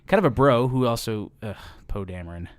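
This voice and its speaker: A man's voice: mid-range voice, animated, brisk, no room sound. A man co-hosting a casual podcast, rambling through a movie plot with frequent ums and restarts. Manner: rambling, lots of ums and restarts, laughs easily. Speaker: podcast co-host.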